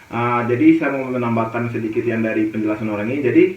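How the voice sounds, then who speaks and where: A man from Papua hosts a casual interview in an eastern Indonesian accent. His voice sits at a medium pitch. He is recorded away from the microphone in an echoey room. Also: quick pace. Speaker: host. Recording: muffled, roomy, distant mic, noisy.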